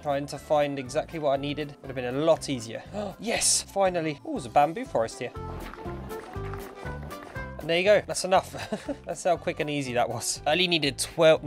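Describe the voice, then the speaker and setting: A young man with a stuffy nose and a partly lost voice, chatting upbeat as he plays and narrates a Minecraft game. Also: a little high. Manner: chatty, upbeat, thinking aloud while playing. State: congested, partly lost voice from illness. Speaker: gaming YouTuber. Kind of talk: casual Minecraft commentary.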